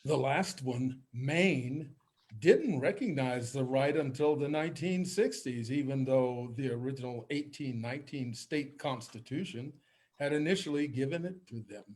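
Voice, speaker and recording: A man's voice: mid-range voice, a little slow, darkish tone. A man narrating history from a script.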